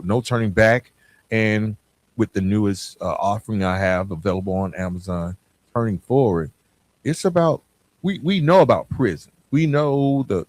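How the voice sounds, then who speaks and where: Guy doing a motivational podcast solo, kinda low voice, earnest n emphatic, really dry recording. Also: no echo. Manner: earnest, emphatic, with frequent "right?" check-ins. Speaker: podcast host.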